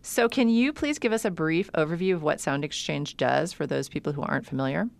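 A woman's voice: low-pitched, fairly quick, vocal fry. A woman hosting a radio show, asking guests curious questions. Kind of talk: interview questions.

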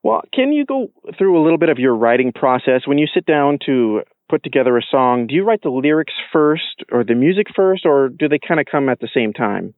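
A man conducts an interview in a conversational way. His mid-pitched voice sounds thin and phone-like, with no room echo at all. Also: very animated, fairly quick, few pauses.

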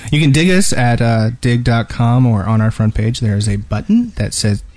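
A man's voice: medium pitch, lively intonation, moderate pace, a little mellow, no echo. A man co-hosting a podcast in casual chat, slipping in dry jokes. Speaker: podcast co-host.

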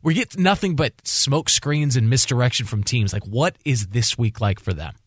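A man's voice: mid-range voice, lively intonation, moderate pace, dry recording, studio quality. A man co-hosting a sports radio show, talking in a casual conversational style.

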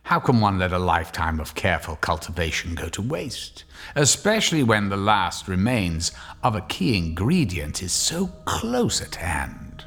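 A man playing a mad scientist muttering to himself in a slow, chant-like way in a radio drama. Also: sits low, very animated, fairly bright tone, full character voice. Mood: brooding. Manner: slow, lilting incantation-like muttering. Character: muttering male mad scientist, brooding over his work.

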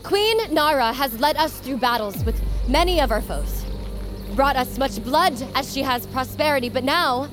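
A bold huntress speaks in a high voice with clear music behind her. She is blunt, forceful and defiant, in the manner of epic audio fiction. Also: lively intonation, moderate pace. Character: bold, defiant young huntress challenging for power. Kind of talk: dramatic audio fiction acting.

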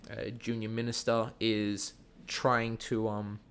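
A man speaks in a relaxed, rambling way, his Australian accent toned down. His voice has a gravelly fry to it, and his sentences drift through frequent fillers and restarts. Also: lowish voice, slow. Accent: Australian, softened. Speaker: guest, actor and school theatre teacher. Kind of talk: casual podcast chat.

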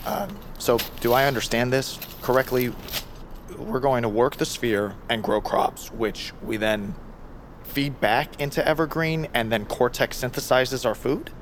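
A man's voice: mid-range voice, unhurried, background noise, lightly acted. A man playing an easygoing, considerate survival expert in audio fiction, with a hesitant, self-deprecating manner. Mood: curious.

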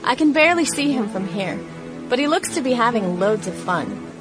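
A woman's voice: fairly high pitch, big pitch swings, moderate pace, over music, poor audio. A woman playing a young lady of 1916 reading her diary in a radio play, with prim, old-fashioned, wry phrasing. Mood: amused, observant.

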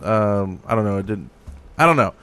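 A man's voice: lowish voice, animated, normal speed, a bit bright, clean tone. A man talking casually as a co-host on a podcast. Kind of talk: casual chat.

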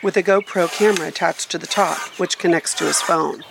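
A woman's voice: fairly low pitch, fairly even intonation, brisk, creaky, noisy background. A woman reporter reading scripted narration for a radio feature.

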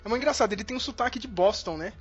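A man with a Brazilian Portuguese accent, chatting casually on a podcast, animated and laughing easily. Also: high-pitched, racing, slightly rough. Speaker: podcast co-host. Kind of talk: casual chat. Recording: muffled recording with faint music.

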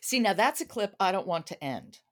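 A woman's voice: mid-range voice, big pitch swings, brisk, fairly bright tone. A woman hosting a casual book discussion in an effusive, emphatic way. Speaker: podcast host. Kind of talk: casual conversational book talk.

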